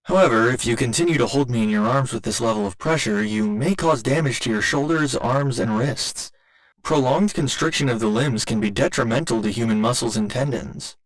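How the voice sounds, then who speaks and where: Guy doing a sweet robot boyfriend for asmr roleplay, mid-pitched, talks all formal and clinical but tender, bit unsure of himself. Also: fairly quick, close to an everyday voice, low-quality recording. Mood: clinical, measured. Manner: formal, clinical phrasing spoken tenderly and hesitantly. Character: gentle male robot boyfriend learning to feel love.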